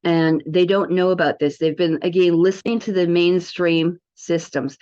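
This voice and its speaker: A woman's voice: lowish voice, little pitch movement, moderate pace, darkish tone. A woman giving an informal, rambling product demo as an alternative health promoter, chatting directly to the viewers. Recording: dull lo-fi audio.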